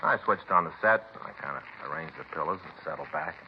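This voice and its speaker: A man's voice: very deep voice, fast talker. A man playing a fretful, rambling American police detective in a 1950s radio drama, folksy and plaintive in his long-winded way of talking. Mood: chatty, rambling. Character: fretful, rambling married male police detective, aggrieved sidekick. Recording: muffled, hissy old radio broadcast.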